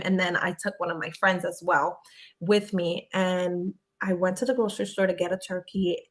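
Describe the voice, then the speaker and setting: A woman's voice: mid-range voice, fairly bright tone. A young woman from Connecticut whose first language was Spanish, chatting casually as she reads out and answers viewer questions on a live stream. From Connecticut, USA. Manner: chatty, reads comments aloud, laughs often.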